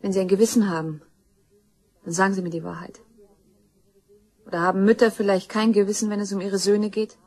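A woman's voice: medium pitch, little pitch movement, fairly quick, pauses often, darkish tone, close to an everyday voice. An actress playing a determined young woman in a crime radio play, accusing and insistent. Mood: determined, demanding. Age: young adult. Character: grim, determined young woman seeking justice for her murdered mother.

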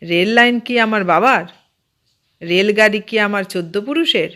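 A woman voicing an excitable, boastful, easily flustered uncle who works on the railway, in a storybook reading. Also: high voice, normal speed. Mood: alarmed, scolding.

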